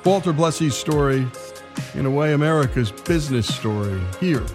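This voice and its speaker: A man hosting a storytelling radio show, speaking in emphatic, deliberate phrases. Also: on the high side, expressive, over music.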